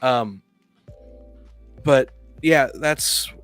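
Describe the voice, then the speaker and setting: A man hosting a casual discussion of tabletop games, talking in a halting, rambling way that often repeats words. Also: mid-range voice, fairly bright tone, no room sound, faint music behind.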